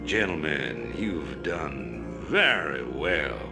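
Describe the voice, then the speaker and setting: A deep-voiced, imperious rebel warlord. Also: male voice, very animated, exaggerated voice. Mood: smooth, rallying. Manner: grandiose, measured, oratorical. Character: imperious, ruthless middle-aged male rebel leader turned would-be emperor. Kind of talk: sci-fi game cutscene acting. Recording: loud orchestral music behind.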